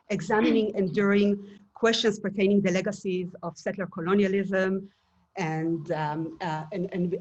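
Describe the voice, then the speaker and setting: A woman with a husky, slightly breathy voice introduces an academic panel in a measured, prepared way. She stumbles and restarts now and then. The recording sounds dull and muffled. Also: fairly low pitch, darkish tone. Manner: measured, reads prepared remarks with hesitant restarts. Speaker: panel moderator.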